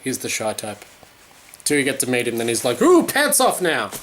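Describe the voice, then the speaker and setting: A man's voice: mid-range voice, huge pitch swings, normal speed, fairly bright tone. A man talks casually to his camera at home. He rambles, restarts, swears a lot and jokes at his own expense. A faint background hiss sits under his voice. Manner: rambling, sweary, self-mocking. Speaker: vlogger. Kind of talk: casual vlog chat.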